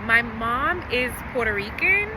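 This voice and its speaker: A young woman from the Dominican Republic answering interview questions in a relaxed, hesitant way with frequent pauses. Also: fairly high pitch. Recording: noisy outdoor recording with traffic.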